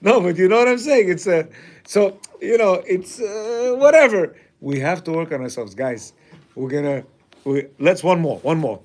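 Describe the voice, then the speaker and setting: Animated high-voiced man teaching a class. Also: moderate pace. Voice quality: loud voice. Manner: animated, jokey, acts out quotes. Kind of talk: lively lecture to a men's class.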